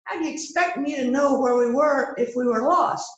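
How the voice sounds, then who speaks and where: High-voiced little suspect, read by a woman. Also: normal speed, dull audio, close to an everyday voice. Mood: earnest, mournful. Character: small young woman, Katie, mournful murder suspect. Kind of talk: amateur readers theater mystery acting. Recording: echoey room sound.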